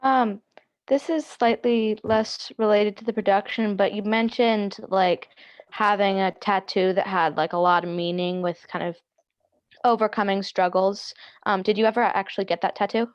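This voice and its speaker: Woman, medium pitch, casual and hesitant audience questioner. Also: steady tone, normal speed. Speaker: audience member asking a question.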